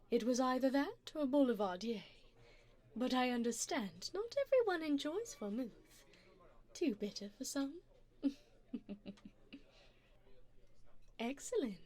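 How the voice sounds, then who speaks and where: Woman playing a doting, elegant vampire sugar mommy, high lilting voice. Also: darkish tone. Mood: suave, attentive. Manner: coaxing, refined, pampering endearments. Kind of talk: ASMR romantic audio roleplay.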